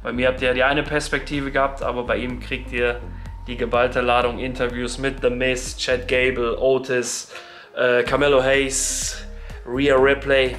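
A man's voice: mid-range voice, flat delivery, measured pace, fairly bright tone, soft background music. A man in his thirties hosting a casual vlog, talking slangy and straight to viewers into a phone held at arm's length. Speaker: vlogger host. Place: arena crowd, then hotel room.

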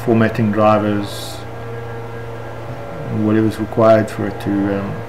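A man with a South African accent talks through a software screencast step by step, unscripted and halting. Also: lowish voice, completely flat delivery, music in the background, low-quality recording. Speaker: software tutorial instructor. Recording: clear background noise, room ambience.

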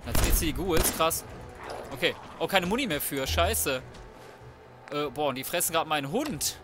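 Man, somewhat high voice, let's play host, casual, slangy, swears, game music clearly behind. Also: expressive, moderate pace. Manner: slangy, swearing, thinking aloud. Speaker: gaming video host.

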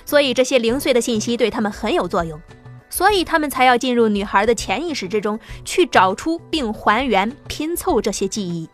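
A woman narrating an apocalyptic sci-fi audiobook in a lively, dramatic storytelling manner, with soft music underneath. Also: on the high side, brisk.

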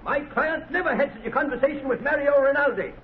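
A man's voice: very high voice, fast talker, heavy background noise. A man playing an earnest, indignant defense lawyer in a 1930s radio drama, speaking formally and emphatically. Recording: tinny, narrow-band 1930s radio recording.

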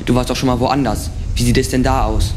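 A fed-up guard with schemes in mind, played by a man for a school radio play. The pitch is average, and music runs clearly underneath. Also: little pitch movement, brisk, close to an everyday voice.

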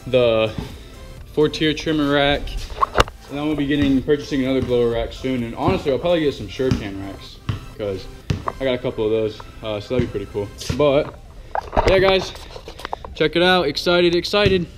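A man's voice: mid-range voice, moderate pace. Young man, upbeat lawn-care vlogger talking to a handheld camera, music bed behind. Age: young adult. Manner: chatty and upbeat, many fillers and "guys". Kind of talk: casual vlog talk to camera. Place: outdoors by a house and garage, earlier in a truck. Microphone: action camera held close.

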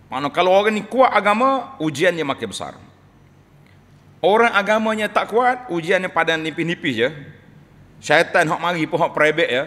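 A middle-aged Malay preacher with a Kelantan accent, his fairly high voice speaking into a pulpit microphone. He climbs to loud peaks and then sinks low and quiet, and the words ring out with a long reverb through a large mosque hall. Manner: animated preaching, swinging between loud rises and low drops. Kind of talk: Friday sermon. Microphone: pulpit microphone on a stand.

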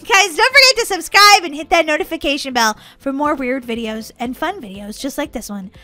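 High, bubbly, excitable woman gamer. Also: brisk. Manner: excitable, childlike, exclaiming. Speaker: gaming video host. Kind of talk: playful gameplay commentary.

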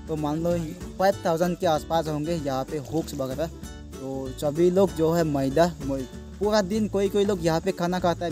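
A young man with a northeast Indian accent talks in a fairly high voice. He explains things in a loose, rambling vlog style, circling back over his words. It is recorded outdoors on a phone, with some music in the background. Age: young adult. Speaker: village fishing vlogger. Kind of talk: casual vlog commentary to viewers. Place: outdoors on a small wooden boat on a river. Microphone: phone at arm's length.

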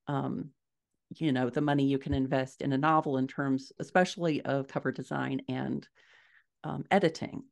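A middle-aged woman giving measured, explanatory answers as a guest author in a conversational interview over a video call. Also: low voice, darkish tone. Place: small furnished room at home, indoors.

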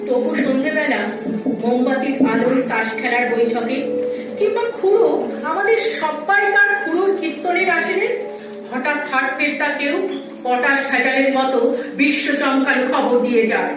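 A woman's voice: sits high, normal speed. A woman reciting poetry dramatically, with theatrical declamation. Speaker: recitation performer. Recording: echoey, distant, music behind. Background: music.

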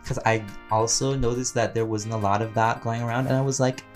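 A man with a medium-pitched voice talks through a casual Q&A in a rambling, playful manner. He is expressive and chatty, like a creator addressing fans directly. Music plays underneath his voice. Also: a little fast. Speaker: voice actor and audio roleplay creator answering fan questions.

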